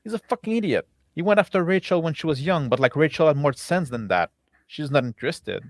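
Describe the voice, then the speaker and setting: A man's voice: on the high side, lively intonation, brisk, no room sound, studio quality, pitched above the performer's own voice. A man voicing a stocky, defensive village blacksmith in a tabletop game, rambling and swearing bluntly. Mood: exasperated.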